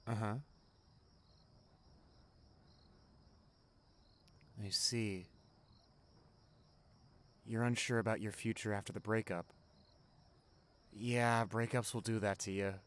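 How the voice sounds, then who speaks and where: A man's voice: lowish voice, lightly acted. A young man playing a caring, chatty college best friend in an ASMR roleplay, talking casually and directly to the listener. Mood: concerned, gentle.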